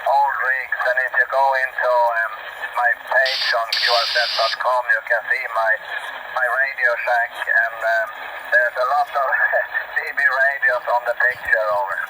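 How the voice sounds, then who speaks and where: Male radio operator from Sweden, flat intonation, polite and hesitant, heard through clear static. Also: medium pitch, unhurried. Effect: radio transmission. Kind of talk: casual radio contact chat. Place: cabin. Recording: noisy radio reception with static.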